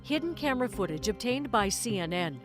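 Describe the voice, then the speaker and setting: A woman's voice: mid-range voice, sing-song, fairly quick, over loud music. A woman news anchor presenting a report and interviewing a correspondent in a conversational way with small repeats.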